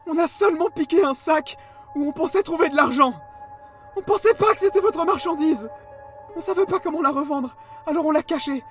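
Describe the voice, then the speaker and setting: Man playing a panicked, very high-voiced thief. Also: brisk. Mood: panicked pleading. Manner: stammering, pleading. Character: panicked, pleading small-time male thief. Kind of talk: French audio drama acting. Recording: distant voice, faint music behind.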